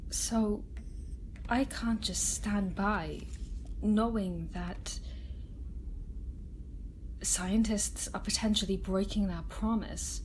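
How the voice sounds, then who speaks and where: A woman playing a kind, protective research scientist in a soft-spoken ASMR roleplay, gentle, hesitant and confiding. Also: mid-range voice, lightly acted, poor audio. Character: kind, caring young female research scientist, earnest and protective. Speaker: voice actor in an audio roleplay. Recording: steady sci-fi ambience noise behind voice. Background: sci-fi laboratory ambience.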